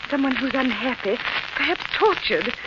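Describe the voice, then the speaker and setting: An actress in 1940s radio style playing an anxious but brave young Victorian governess, speaking in a breathless, melodramatic way. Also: female voice, sits high, expressive, moderate pace. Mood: anxious, resolute. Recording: very noisy, muffled old-time radio recording.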